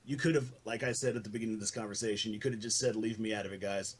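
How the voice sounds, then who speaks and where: A man's voice: lowish voice, fairly even intonation, racing, slight background noise. A man arguing informally, earnest and blunt, swearing freely as he makes his case. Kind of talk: heated informal argument.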